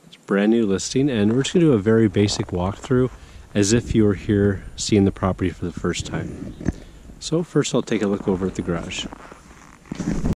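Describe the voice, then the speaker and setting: Low-voiced realtor with a cold. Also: male voice, moderate pace, dark tone, rough recording. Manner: chatty, guiding the viewer. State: has a cold, a bit hoarse. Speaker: real estate agent. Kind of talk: casual walkthrough narration. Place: outdoors, walking around a property in the cold. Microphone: handheld camera while walking. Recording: outdoor noise with passing vehicles.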